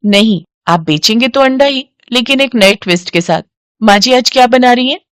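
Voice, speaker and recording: Woman doing a smart young wife in a moral story, reassuring, practical, lively intonation. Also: a little high, normal speed, no echo, studio quality, natural voice. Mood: reassuring, confident.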